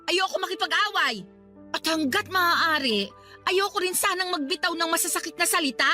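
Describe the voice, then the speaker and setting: A woman's voice: extremely high pitch, lively intonation, normal speed, sharp tone, soft background music, full character voice. An actress playing an exasperated young woman in a radio drama, firm and reproachful as she lectures a housemate. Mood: stern. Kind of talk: Tagalog radio drama acting.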